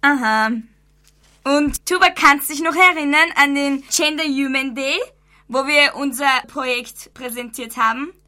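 A woman's voice: sits high, a little slow, pushed and bright. A teenage schoolgirl asking her classmate questions in a scripted radio dialogue. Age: teens. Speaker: student presenter.

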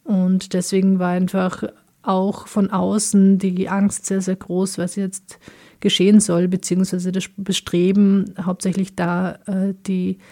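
A woman answers radio interview questions in an earnest, measured way. She often pauses and restarts as she looks for the right words. Her voice is low, mellow and fairly flat in its melody. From Afghanistan. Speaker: interview guest.

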